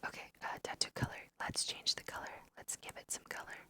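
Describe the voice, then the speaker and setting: A woman whispering a casual, musing ASMR let's play, talking to her viewers as she goes. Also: fast talker. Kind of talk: whispered ASMR game commentary.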